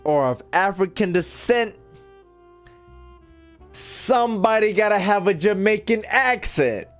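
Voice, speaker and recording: A man's voice: high voice, expressive, slow pace, soft background music. A young man doing casual, slangy, profane reaction commentary as a gaming streamer, riffing and repeating himself.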